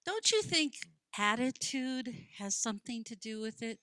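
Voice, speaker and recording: A woman acts out a principled, earnest librarian in a radio drama. Her voice is bright and forceful, moving animatedly in pitch. She questions and challenges with precise wording, in a dry, close sound. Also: animated, normal speed, no echo. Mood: encouraging. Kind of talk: radio play dialogue.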